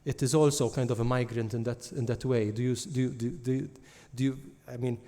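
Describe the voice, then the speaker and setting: A man moderating a book launch interview, asking thoughtful, halting questions. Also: mid-range voice, fairly even intonation, mellow.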